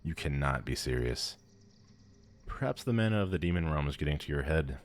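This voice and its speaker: Man voicing a very deep, haughty demon lord. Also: animated, fairly quick, a little hiss or hum. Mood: haughty, incredulous. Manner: pompous, condescending, theatrical. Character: haughty, powerful male demon lord, bored and amused. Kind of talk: ASMR audio roleplay acting.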